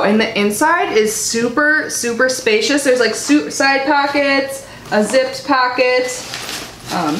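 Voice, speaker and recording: A woman's voice: on the high side, noisy background. Chatty nasal young woman, echoey room. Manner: chatty and bubbly, lots of likes and ums. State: nasal from allergies. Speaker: unboxing vlogger. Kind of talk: casual unboxing chat.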